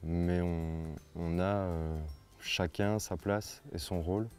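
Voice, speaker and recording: A man from Belgium with a very deep voice and a flat, steady melody. He explains things in an interview in measured, hesitant phrases. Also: soft background music. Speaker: farm project founder. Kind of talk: documentary interview, spontaneous speech.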